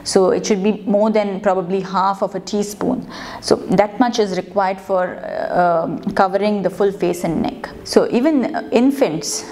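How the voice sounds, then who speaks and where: Brief: woman in her thirties, dermatologist, mellow tone, measured explainer with pauses, lapel mic in clinic office. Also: medium pitch. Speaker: dermatologist, channel host. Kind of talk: explanatory talk to camera.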